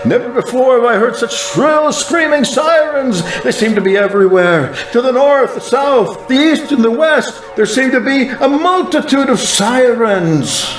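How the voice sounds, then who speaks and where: A man's voice: extremely high pitch, expressive, a little slow, few pauses. A man playing an anguished evangelist who recounts an apocalyptic vision in fervent, theatrical first-person narration. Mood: frantic alarm. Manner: theatrical, fervent, swelling and falling dramatically. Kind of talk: dramatized first-person narration over music. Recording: echoing voice under loud music.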